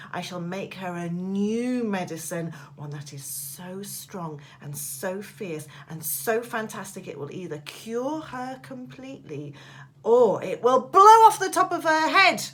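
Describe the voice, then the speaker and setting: A woman voicing a mischievous, excited eight-year-old storybook boy, gleeful and dramatic as he chants and schemes. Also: mid-range voice, a little hiss or hum. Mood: scheming, excited. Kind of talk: storybook read-aloud character voice.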